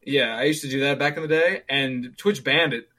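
A man's voice: medium pitch, moderate pace, pushed and bright. Rambling young man in his twenties. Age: 20s. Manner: rambling, many fillers and restarts. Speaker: streamer. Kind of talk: casual gaming chat.

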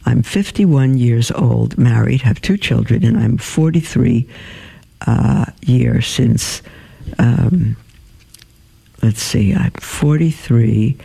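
Gravelly, dark-voiced man reading letters. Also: medium pitch, rough recording. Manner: halting, many fillers and restarts while reading. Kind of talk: reading and answering listener emails.

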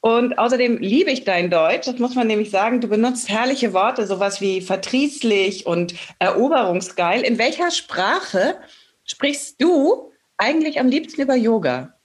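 A woman's voice: on the high side, animated, measured pace. A woman hosting a German podcast, introducing her guest and asking questions in an engaged, conversational way. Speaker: podcast host.

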